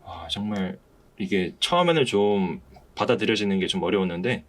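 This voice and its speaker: A man's voice: lowish voice, lively intonation, normal speed. A man hosting a casual podcast discussion, speaking in an earnest, hesitant way. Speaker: podcast host.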